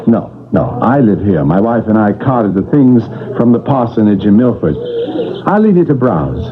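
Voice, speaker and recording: An actor playing a polite, talkative garage-sale seller in 1970s radio drama style. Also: male voice, lowish voice, big pitch swings, normal speed, a crowd in the background. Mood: polite, helpful. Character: polite, talkative man selling inherited belongings. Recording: muffled old radio, outdoor sound effects.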